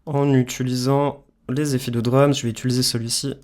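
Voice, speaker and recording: A man's voice: medium pitch, little pitch movement, fairly quick. A man presenting a music production tutorial, explaining things informally, step by step. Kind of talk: casual screen-share software tutorial.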